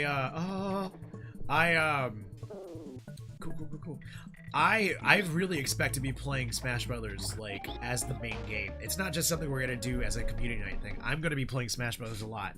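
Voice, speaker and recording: A hoarse young man streaming a game, chatting casually with viewers in a rambling way with lots of fillers. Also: medium pitch, animated, normal speed. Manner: rambling, frequent fillers, reads chat aloud. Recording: game music behind the voice.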